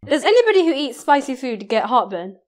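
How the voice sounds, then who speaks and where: A young woman co-hosting a podcast, chatting casually and asking quick teasing questions with frequent giggles. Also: high-pitched, very animated. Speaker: podcast co-host. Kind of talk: casual chat.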